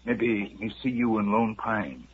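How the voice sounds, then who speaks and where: An actor playing a terse male scout who speaks in slow, clipped, broken phrases, in a 1950s radio drama. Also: lowish voice, expressive. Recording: muffled scratchy 1950s radio recording with loud hiss.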